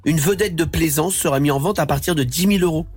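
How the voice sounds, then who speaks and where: Joking high-voiced male radio host. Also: breathy edge, soft background music. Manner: jokey, teasing, quick asides. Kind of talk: lively radio banter.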